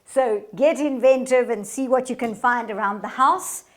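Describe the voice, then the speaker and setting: A woman performer presenting a radio play in a deliberate, theatrical storytelling style. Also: a little high. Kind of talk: theatrical scripted narration and demonstration.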